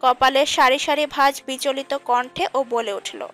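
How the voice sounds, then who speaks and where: A woman's voice: sits high, fairly even intonation, a little slow, sharp tone. A woman reading scripted narration for a romantic audio story. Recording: music under the voice. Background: background music.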